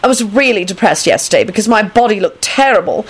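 A woman's voice: medium pitch, expressive, fast talker, husky, voice pushed up. A woman voicing an exuberant, shameless married woman who gushes and squeals in a story reading. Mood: gleeful. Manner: squealing, gushing.

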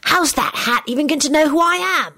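A woman's voice: high-pitched, fairly quick, sharp tone, dry recording, voice pushed up. A woman voicing a proud, hot-tempered young boy in a storybook, blurting and defensive. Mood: gloomy worry.

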